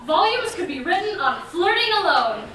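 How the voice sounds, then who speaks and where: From far off in a noisy theatre comes an earnest, excitable young female scientist, played by a stage actress. Her voice is extremely high and very animated. She lectures with projected, emphatic comic delivery. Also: moderate pace, exaggerated voice. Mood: earnest lecturing. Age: young adult. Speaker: actor in a stage comedy. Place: large indoor theatre stage. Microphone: far camera. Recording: echoey theatre stage, distant mic, noisy.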